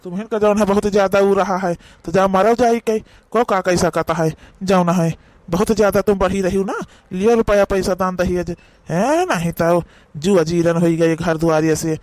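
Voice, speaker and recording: A man plays a cranky old village father-in-law from a comedy cartoon. His voice is high for a man and closely recorded without echo. He has an Awadhi accent and scolds in an emphatic, rambling way. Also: fairly even intonation, moderate pace, a little mellow, natural voice. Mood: grumbling.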